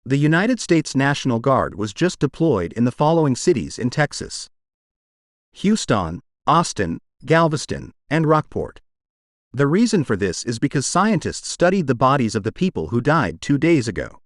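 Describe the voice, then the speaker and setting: Male announcer for emergency alert narration, mid-pitched, very dry close sound. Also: sing-song, normal speed, no room sound.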